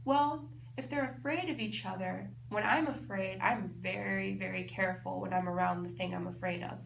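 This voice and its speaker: A woman with a mid-range voice reads a children's story aloud as a teacher would. She is expressive and explanatory, thinking aloud for young listeners. The room is echoey, with faint noise behind her. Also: mid-range voice, normal speed, gravelly.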